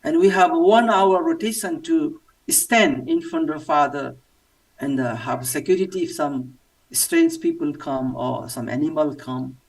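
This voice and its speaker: A man from Nepal with a Nepali accent, giving a personal testimony in halting English with many fillers. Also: a little high, sing-song, gravelly, recorded from a distance, low-quality recording. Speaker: church member giving testimony.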